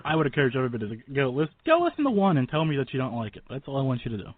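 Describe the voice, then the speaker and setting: A man's voice: medium pitch, sing-song, rapid-fire, no echo. Male radio host, narrow phone-like sound. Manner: informal, effusive, rambling. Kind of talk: casual interview chat.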